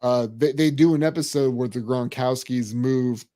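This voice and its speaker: A man in his forties hosting a casual sports podcast, teasing and animated, often restarting his words. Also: mid-range voice, moderate pace. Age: 40s. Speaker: podcast host.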